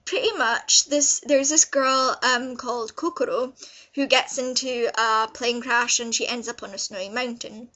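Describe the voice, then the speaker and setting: High, bright-voiced young woman vlogger. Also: steady tone, measured pace. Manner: gushing, informal, rambling. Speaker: vlogger reviewing visual novels. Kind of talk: casual review chat to camera.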